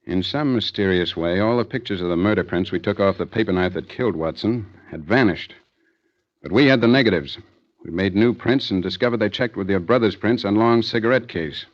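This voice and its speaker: A man's voice: lowish voice, brisk, natural voice. A man acting as a skeptical, hard-nosed police captain in an old-time radio thriller, brusque and commanding. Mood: stern. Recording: muffled vintage radio recording.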